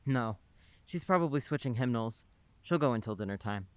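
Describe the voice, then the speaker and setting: Actor as kind reassuring neighbor, lively pitch, gentle persuasion, radio play. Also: male voice, normal speed, clear, resonant tone, dry recording, lightly acted.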